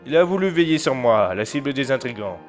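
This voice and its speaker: A man's voice: medium pitch, sing-song, a little fast, lightly acted. A man as a loyal young assassin; level, matter-of-fact delivery over music. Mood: calm. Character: calm loyal male assassin, young adult. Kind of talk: amateur audio drama acting. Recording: music underneath.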